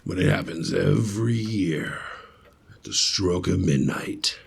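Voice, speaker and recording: A man voicing an ancient, forlorn gingerbread ornament in a radio story, with a raspy, broken voice and slow, halting, ominous delivery. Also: deep voice, animated, heavily acted. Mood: grim, foreboding. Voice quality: raspy, croaking, broken old voice. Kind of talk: radio story character acting.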